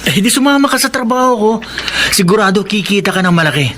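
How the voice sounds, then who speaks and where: A man's voice: high-pitched, expressive, quick pace, sharp tone, slight background noise. A man playing a sly, wheedling petty crook in a radio drama. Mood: coaxing. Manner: wheedling, coaxing. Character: sly adult male petty crook recruiting a child. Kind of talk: Tagalog radio drama acting.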